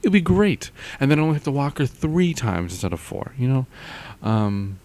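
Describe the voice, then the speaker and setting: A man's voice: medium pitch, sing-song, moderate pace, a little mellow. A young man rambling casually into a close mic with a pop filter at home, full of fillers and trailing thoughts. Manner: meandering, lots of fillers and trailing off. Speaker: audio vlogger. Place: home, indoors.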